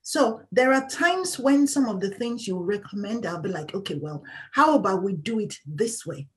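A woman's voice: mid-range voice, animated, normal speed. A woman guest in an interview, talking candidly as a patient advocate in an emphatic, outspoken way and laughing often.

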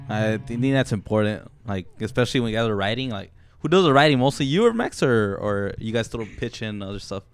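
A man's voice: on the low side, lively intonation, a little fast, few pauses. A man co-hosting a podcast, enthusiastic and casual, swearing often. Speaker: podcast co-host. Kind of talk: casual chat.

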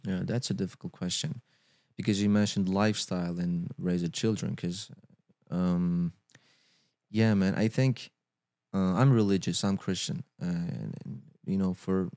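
Low-voiced man. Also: fairly even intonation, dark tone, no room sound, clean studio sound. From Georgia. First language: Dutch. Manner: halting, repeats words, many you-knows. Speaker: guest actor. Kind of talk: casual interview chat.

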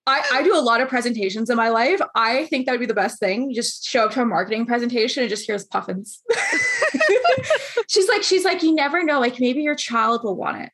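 A woman in her late twenties interviewing a guest over a video call, chatty and animated, acting out what others said. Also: fairly high pitch, fairly quick, breathy edge. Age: 20s.

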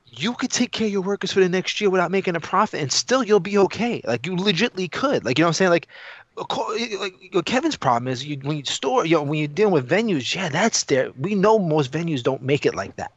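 A man's voice: a little high, very animated, fast, dry recording. A man talks casually as a podcast co-host, pressing his opinions with emphasis and repetition. He peppers his talk with rhetorical questions. The sound is dry and slightly muffled, with a lo-fi edge.

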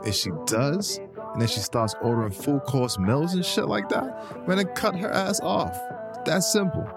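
A man's voice: a little high, normal speed, vocal fry, music in the background. A man playing a brash, teasing friend in a casual audio drama, blunt and slangy, giving advice over a game of pool. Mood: blunt, advising.

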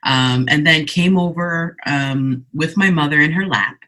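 Bright-voiced talkative woman in her forties. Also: low voice, a little slow. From Ghana, raised in Canada. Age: 40s. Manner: animated, frequent "you know" and "right?". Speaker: entrepreneur guest. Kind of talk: casual interview.

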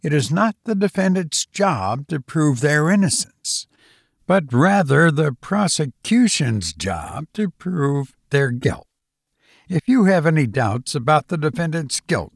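Man reading as a formal, gravelly courtroom judge. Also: a little high, sing-song, a little slow, no room sound, studio quality, full character voice. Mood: firm instruction. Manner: formal, procedural, authoritative. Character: formal, impartial judge instructing a jury.

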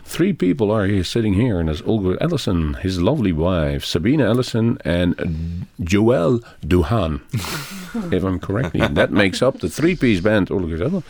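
Low gravelly radio host. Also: male voice, moderate pace, hardly any pauses. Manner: animated, rambling, many restarts and fillers. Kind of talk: casual radio interview. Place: radio studio.

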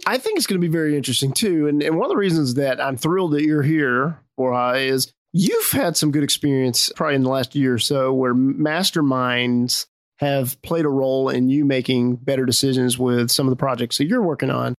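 A man's voice: medium pitch, a little fast, no echo. A male podcast host asking a guest curious, friendly questions. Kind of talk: interview questions. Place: outdoors.

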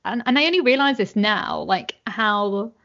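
A young woman, talking as a guest in a casual conversation, animated and full of fillers. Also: medium pitch, normal speed, gravelly, dull audio. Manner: animated, chatty, many fillers and "like"s.

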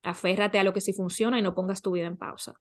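A woman coach talks as a guest on a podcast at a medium pitch. She gives advice conversationally, often posing questions and answering them with examples. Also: fairly even intonation, fast.

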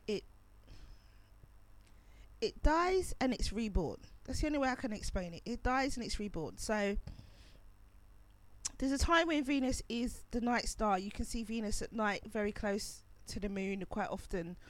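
A woman hosting a pre-recorded radio show, chatting casually about astrology while losing her voice and coughing. She rambles and corrects herself as she goes. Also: fairly high pitch.

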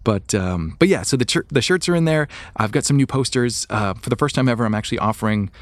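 A man's voice: medium pitch, lively intonation, fast, dry recording. A man hosting a podcast solo, chatting casually and informally, speaking straight to his listeners. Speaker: podcast host.

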